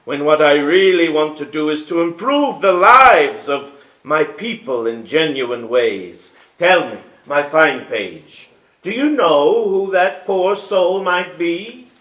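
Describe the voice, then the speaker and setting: A man's voice: fairly high pitch, animated, slow pace, a bit bright. Man acting as a jovial, theatrical king, roomy stage. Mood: warm, earnest. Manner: theatrical, grand, formal old-fashioned phrasing. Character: jovial, restless king, fond of his bumbling young page. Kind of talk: staged musical theatre reading. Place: live staged reading in a hall. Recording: roomy live stage recording.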